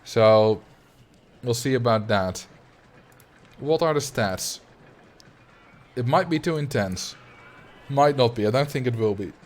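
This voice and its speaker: A man's voice: mid-range voice, expressive, slow, pauses often. A man from the Netherlands with a noticeable but mild Dutch accent, a native Dutch speaker chatting casually and in a rambling way as he streams a game. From Netherlands, grew up in Drenthe. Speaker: video game livestreamer.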